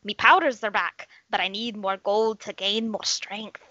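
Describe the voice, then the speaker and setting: A man's voice: high-pitched, steady tone, measured pace, bright, forceful tone, no room sound, muffled recording, voice pushed up, full character voice. A man voicing a crude, greedy leprechaun who talks in cocky sing-song rhymes for a comedy story. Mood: boastful. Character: crude, greedy, rhyming leprechaun hungry for gold.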